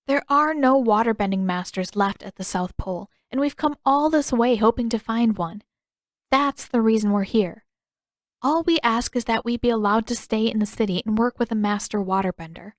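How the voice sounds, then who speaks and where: A woman's voice: on the high side, moderate pace, no echo, clean studio sound, lightly acted. A woman voicing an earnest, determined teenage girl in a story, speaking politely and carefully, with a pleading manner.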